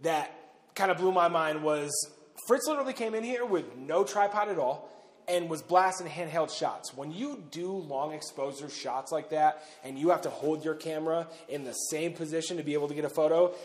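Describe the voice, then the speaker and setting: A man's voice: a little high, a little fast. A man in his thirties hosting a casual talk to camera inside a large underground silo, speaking loosely with frequent fillers. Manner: conversational, loose, with frequent "uh" and "pretty much". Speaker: bunker owner and host. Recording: long reverb of an underground silo.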